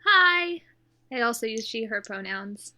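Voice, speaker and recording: A woman in casual, joking podcast chat. Her voice sits a bit high and sounds quite bright, and she takes her time with words. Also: lively intonation, unhurried. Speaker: podcast player.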